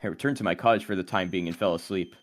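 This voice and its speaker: Male actor as earnest teen protagonist, troubled and brooding, low register, dramatic anime game delivery over music. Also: racing, close to an everyday voice. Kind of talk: anime game dub, dramatic inner monologue and confrontation.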